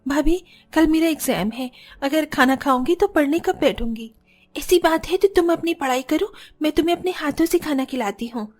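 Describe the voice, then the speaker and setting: Casting: female actor as kind young cartoon bhabhi, very high voice, earnest delivery. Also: normal speed, close to an everyday voice. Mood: affectionate. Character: kind, protective young sisters-in-law and their gentle young student sister-in-law. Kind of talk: Hindi cartoon story acting.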